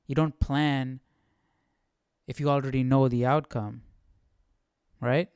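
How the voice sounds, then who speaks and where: A man's voice: mid-range voice, steady tone, takes it slowly, a little mellow, smooth, no room sound, studio quality. A man hosting a livestream Bible study, chatting casually and thinking aloud with lots of questions. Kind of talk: casual, musing chat.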